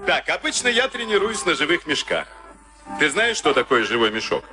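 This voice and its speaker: An actor playing an arrogant, sadistic SS officer with false geniality and a commanding, mocking way of talking, in a radio play. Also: male voice, on the high side, sing-song, moderate pace. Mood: coolly instructive. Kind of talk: Soviet radio play acting. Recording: archive radio play with loud music.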